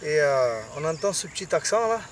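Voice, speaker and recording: A man's voice: on the high side, lively intonation, a little fast. A man interviewing anglers outdoors, chatty and friendly in his questions. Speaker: interviewer at fishing event. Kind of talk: casual interview. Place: outdoors by a lake. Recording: distant mic, loud nature noise. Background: crickets and rural ambience.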